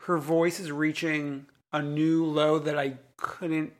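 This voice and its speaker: A man co-hosting a casual reality TV recap podcast, making wry jokes and agreeing with his co-host through quick "yeah"s. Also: fairly high pitch, level tone.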